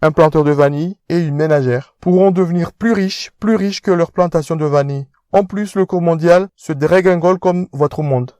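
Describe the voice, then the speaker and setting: A sneering rich young fiancé, played by a man talks down from a high, thin register, every line pompous and stiffly formal. The sound is dry and close, like a home studio. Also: moderate pace, few pauses, warm tone, dry recording, lightly acted. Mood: scornful. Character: haughty rich young fiancé, Charles de la Tortue. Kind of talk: independent audio drama acting.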